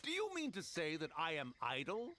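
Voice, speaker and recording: A man's voice: on the high side, wildly swooping pitch, normal speed, bright, forceful tone, a little hiss or hum, heavily acted. A man playing a proud, grumpy old tanuki spirit who guards a forest, pompous and theatrical, in an anime video game. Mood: indignant. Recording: faint music behind the voice.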